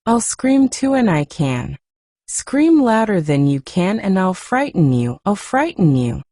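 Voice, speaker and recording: A woman's voice: mid-range voice, very animated, unhurried, dark tone, no room sound, studio quality. A woman voicing a fierce, bossy little girl who scolds sharply.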